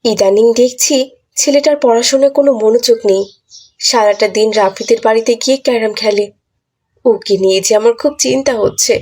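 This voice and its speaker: A woman acts as a fretful, loving village housewife in a cartoon. Her voice is fairly high with a husky grain, and she speaks in a motherly, fussing way. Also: normal speed, a little mellow, close to an everyday voice. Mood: chiding, worried. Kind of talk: cartoon voice acting.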